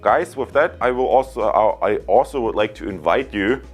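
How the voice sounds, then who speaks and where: A man's voice: on the low side, animated, normal speed, breathy edge, faint music behind. A man giving a casual tech product review, choosing his words carefully and a little hesitantly.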